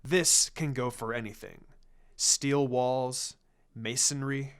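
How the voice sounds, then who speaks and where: A man voicing a serious adult ninja mentor in a fan fiction reading, calm, stern and deliberate as he explains. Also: medium pitch, clear, resonant tone, voice pushed down.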